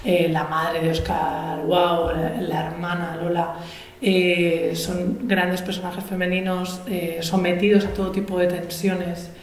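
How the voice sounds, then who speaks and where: Una mujer que modera una charla literaria sobre el escenario de un auditorio, hablando con titubeos y frases que vuelve a empezar. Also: female voice, on the low side, little pitch movement. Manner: halting, many restarts and fillers. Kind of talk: onstage literary conversation. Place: large auditorium stage. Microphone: table mic. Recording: echoey auditorium, faint noise.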